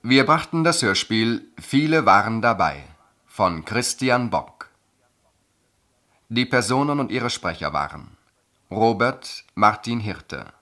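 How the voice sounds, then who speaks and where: A male radio announcer with a low, bright and forceful voice. He reads formal scripted announcements very slowly and in a measured way.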